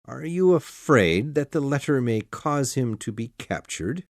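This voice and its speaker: A calm, businesslike lawyer, voiced by a man, speaking in a formal, measured way. His delivery is slow, his pitch moving widely. The recording is dry and close. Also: no room sound. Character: calm, businesslike middle-aged male lawyer. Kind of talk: audiobook dialogue.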